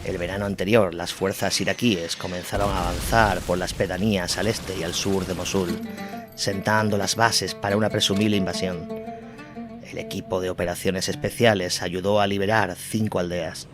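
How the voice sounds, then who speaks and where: Man, deep voice, scripted documentary narration, plucked guitar music underneath. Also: steady tone, normal speed.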